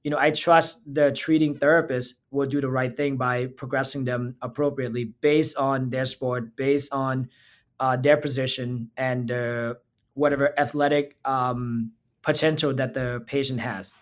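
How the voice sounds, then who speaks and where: A man's voice: mid-range voice, fairly even intonation, a little slow. A man from Thailand, a physical therapist talking as a podcast guest in an earnest, reflective way. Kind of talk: casual interview talk.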